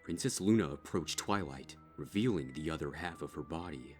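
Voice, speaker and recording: Casting: male storyteller with a profoundly deep voice for scripted horror narration over soft music. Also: lively intonation, normal speed. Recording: music behind.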